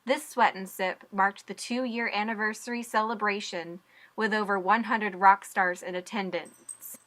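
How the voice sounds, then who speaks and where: A young woman reporting the news, with stand-ups on location and voice-over narration in a clear, measured delivery. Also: medium pitch, steady tone, a bit bright.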